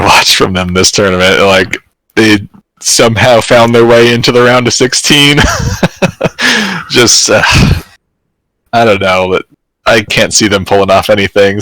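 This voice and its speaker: A man's voice: lowish voice, fairly bright tone, no echo, low-quality recording. A man co-hosting a soccer podcast, talking casually, hesitant, with many fillers. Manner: hesitant, trails off with fillers and laughs. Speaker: podcast co-host. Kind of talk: casual sports chat.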